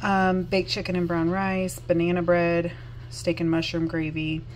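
A woman's voice: fairly low pitch, monotone, a little slow, a little hiss or hum. A woman in her thirties with a Southern US accent, chatting casually and upbeat to the camera, with frequent ums and self-corrections, recorded on a phone held close in a home kitchen. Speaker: vlogger. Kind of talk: casual chat. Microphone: phone held close at arm's length. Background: dishwasher running.

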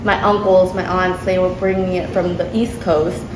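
Low-voiced woman, chatty, echoey restaurant. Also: little pitch movement, normal speed. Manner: chatty, laughs often, restarts sentences. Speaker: restaurant owner. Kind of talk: casual interview. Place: sushi restaurant. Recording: echoey room with background noise.

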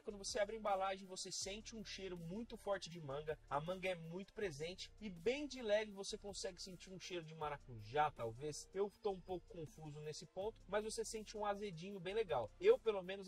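High-voiced Brazilian man reviewing tobacco. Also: recorded from a distance. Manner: chatty and relaxed, addressing viewers directly. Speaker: host of a hookah tobacco review channel. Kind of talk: casual product review to camera. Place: dim room, seated on a sofa.

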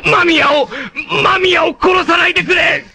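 A man's voice: extremely high pitch, fairly even intonation, measured pace, gravelly, pushed and bright, full character voice. An actor voicing a proud, fierce young male martial arts warrior in a 1980s anime, with a grave, intense and dramatic delivery. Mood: desperate.

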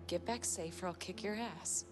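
A woman's voice: fairly low pitch, moderate pace, over music, close to an everyday voice. A woman playing a tough, caring, loyal partner and mother in a video game cutscene, plainspoken and affectionately bossy. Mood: fond but firm.

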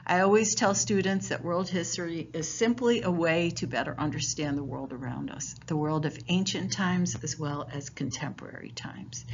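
A woman teacher welcoming parents to a class and explaining how it runs, in a friendly, informal way with some fillers. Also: fairly low pitch. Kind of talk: virtual parent night presentation. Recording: dull lo-fi home recording, faint hiss.

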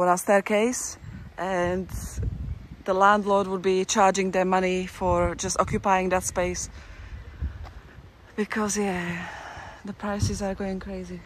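A woman's voice: mid-range voice, slight background noise, poor audio. Chatty woman vlogging on a walk. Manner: chatty, rambling, with restarts and little laughs. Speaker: travel vlogger. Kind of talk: casual walking-tour vlog commentary. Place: outdoors on town streets. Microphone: handheld camera while walking.

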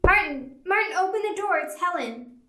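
A woman's voice: very high voice, expressive, moderate pace. A young woman playing an anxious, concerned woman with urgent, melodramatic 1940s radio delivery. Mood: worried, urgent. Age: young adult. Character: anxious, concerned woman pleading with haunted man. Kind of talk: 1940s radio drama acting. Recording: echoey, noisy room recording.

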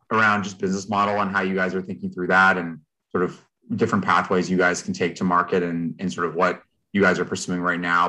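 A man in his thirties with a deep but bright, forward voice. He hosts an informal interview on a video call and puts his questions in a casual, conversational way. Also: rough recording. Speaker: host questioning a presenter.

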